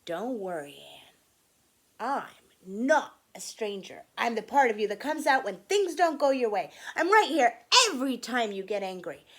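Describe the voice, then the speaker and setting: A woman's voice: fairly high pitch, sing-song, a little slow, exaggerated voice. A woman voicing a hairy red creature that is a boy's anger, coaxing and insistent, in a storybook reading.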